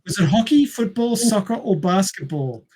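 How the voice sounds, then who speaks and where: A man's voice: high voice, animated, moderate pace, darkish tone. A man with an Australian accent hosting a casual quiz among friends, reading out questions and chatting. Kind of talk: casual group quiz chat.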